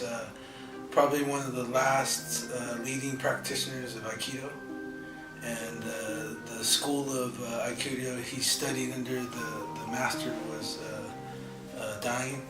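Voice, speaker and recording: A man from California, a poet and educator, answering interview questions in a reflective, halting way with many fillers. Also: mid-range voice, level tone. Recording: roomy echo, distant mic, music.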